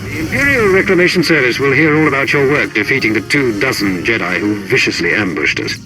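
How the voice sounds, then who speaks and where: An actor playing a sly, boastful, conspiratorial Imperial agent in a video game. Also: male voice, medium pitch, expressive, a little fast, close to an everyday voice. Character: sly, boastful, admiring male Imperial in the field. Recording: very noisy game ambience. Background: loud ambient noise.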